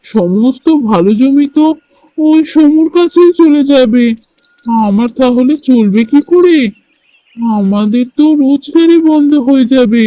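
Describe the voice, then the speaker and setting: Man doing a very high, earnest simpleton bird. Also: dry recording, highs cut off. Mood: worried. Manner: earnest, plaintive. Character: simple, honest, worried elder brother bird. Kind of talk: children's cartoon voice acting.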